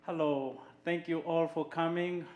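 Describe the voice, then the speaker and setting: A man from Zimbabwe giving a short, earnest welcome to a church audience, speaking slowly with frequent pauses. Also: on the high side, fairly even intonation, darkish tone, roomy sound, a little hiss or hum. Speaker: board member of a water charity. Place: church hall.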